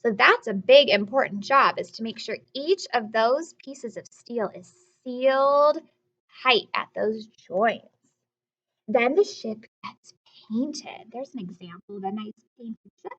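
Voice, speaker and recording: A woman's voice: a little high, animated, a little slow, a bit bright, lo-fi sound. A woman teacher reading aloud to young children, explaining as she goes, asking questions and repeating key words in an instructive way.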